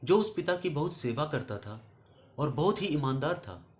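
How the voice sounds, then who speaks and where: A man's voice: on the high side, big pitch swings, normal speed. A man telling a scripted moral story as a host, addressing listeners in an earnest, instructive way.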